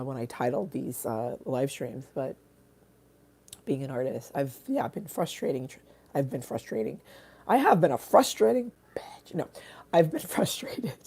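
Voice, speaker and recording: Artist host, woman, very deep and mellow voice, loose rambling livestream talk with frequent swearing. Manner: rambling, candid, swears often. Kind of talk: casual livestream chat.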